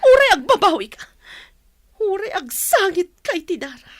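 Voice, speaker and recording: A woman's voice: extremely high pitch, very animated, normal speed, no room sound. A woman with a Filipino Ilocano accent acting a grieving, bitter elder sister who blames her brother in a radio drama, accusing and tearful.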